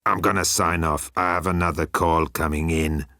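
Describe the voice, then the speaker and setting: A man voicing a big, hairy, slow-witted thunder god who rambles ponderously. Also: low-pitched, little pitch movement, bright, forceful tone, no echo, studio quality. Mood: earnest, pleased.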